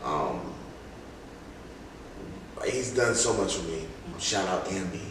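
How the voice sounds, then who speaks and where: Male music-artist guest, deep voice, slangy casual delivery, very reverberant room. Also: big pitch swings, takes it slowly. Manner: informal, slangy. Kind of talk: casual chat. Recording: very echoey room, distant noisy mic.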